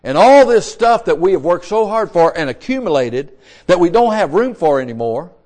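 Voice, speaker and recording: A man in his sixties preaches with a high, light voice. He talks in a folksy way, often repeating himself and restarting words as he builds his points. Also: sing-song, normal speed, darkish tone. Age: 60s. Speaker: pastor. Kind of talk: sermon.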